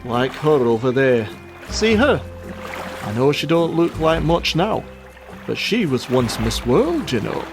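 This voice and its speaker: A man playing a lively, grumbling henpecked fish hawker. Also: on the high side, measured pace, over music. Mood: matter-of-fact. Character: grumbling henpecked husband hawking fish on a beach. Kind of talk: amateur radio play acting.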